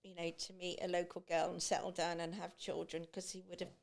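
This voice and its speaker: A woman's voice: fairly low pitch, little pitch movement, fairly quick, vocal fry. A woman guest on a podcast, talking casually with many restarts and fillers. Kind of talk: casual conversation.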